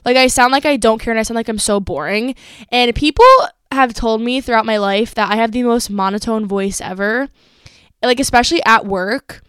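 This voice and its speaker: Young woman solo podcast host, bright-pitched, smooth clear voice, playful and loose with swearing. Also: normal speed, no room sound, studio quality. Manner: chatty and playful, swears freely. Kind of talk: casual solo podcast chat.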